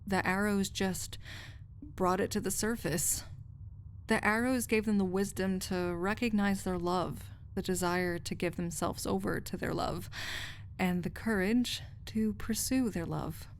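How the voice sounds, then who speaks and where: Casting: woman as dreamy, wry research assistant in love, soft and unhurried, romantic audio roleplay, light hiss of background noise. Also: medium pitch, steady tone, lightly acted. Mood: tender, reflective. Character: lovestruck female research assistant, tender, wry, contentedly dreamy.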